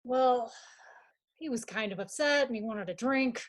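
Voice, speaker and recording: An anxious young gentleman played by a woman in a radio mystery. The voice sits fairly high and comes in halting, urgent phrases, as a well-mannered suitor caught up in a crime. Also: lightly acted. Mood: subdued, cooperative. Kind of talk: radio mystery drama acting.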